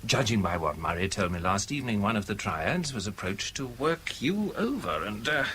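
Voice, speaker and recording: A man's voice: on the low side, sing-song, normal speed, a bit bright. An actor playing an urbane, well-informed, teasing man in a 1970s radio drama, arch and drily amused in manner. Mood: knowing, considered. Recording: old radio drama recording, faint noise.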